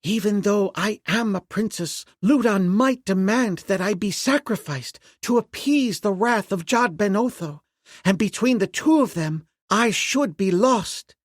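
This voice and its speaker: A man's voice: sits high, expressive, unhurried, no room sound, studio quality, voice pushed up. Man doing a fearful young princess. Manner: pleading, soft-spoken.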